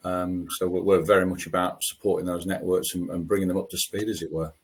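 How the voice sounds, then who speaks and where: A man's voice: low-pitched, flat delivery, fast, husky. A man answering audience questions as a panel speaker, repeating words while starting his sentences.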